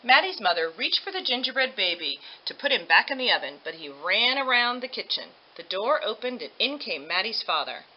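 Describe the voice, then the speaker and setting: A woman reading a children's story aloud with expressive narration. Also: mid-range voice, a little fast, few pauses. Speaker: storybook reader. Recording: dull lo-fi audio.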